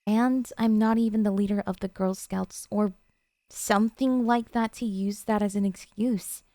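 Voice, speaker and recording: A woman's voice: medium pitch, steady tone, normal speed, mellow, dry recording, studio quality, lightly acted. A woman playing an obsessive, possessive yandere girlfriend in an intimate ASMR roleplay, talking in a coy, cutesy, hesitant way to her sweetheart. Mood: sheepish justifying.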